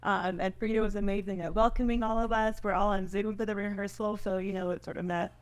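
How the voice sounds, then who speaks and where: A woman's voice: medium pitch, fairly even intonation, fast talker, smooth. A woman speaking as a screenwriter in a casual Q&A, chatty and loose in her phrasing, often adding "you know" and "like". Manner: chatty, loosely phrased with frequent 'you know' and 'like'. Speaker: screenwriter answering questions. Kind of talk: casual spoken Q&A.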